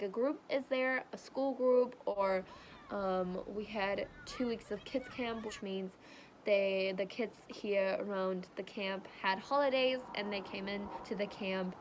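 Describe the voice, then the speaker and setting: A woman's voice: medium pitch, low-quality recording. Young German woman chatting, slight noise. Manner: rambling, many "um" fillers, chatty and friendly. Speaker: vlogger. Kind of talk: casual vlog talk. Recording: faint background noise.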